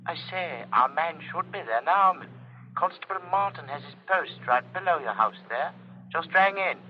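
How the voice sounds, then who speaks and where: A man acting a police sergeant in a vintage radio thriller. His fairly high voice is calm and official, slightly dulled by a scratchy old recording. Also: moderate pace, highs cut off, natural voice. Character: unruffled, courteous police desk sergeant. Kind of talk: 1940s radio suspense acting.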